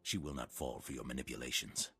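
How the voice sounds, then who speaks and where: A stern old Jedi master from a video game. The voice is extremely deep and heavily husked. He speaks formally and solemnly, calm and unyielding, with measured weight. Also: full character voice. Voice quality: very deep, husky.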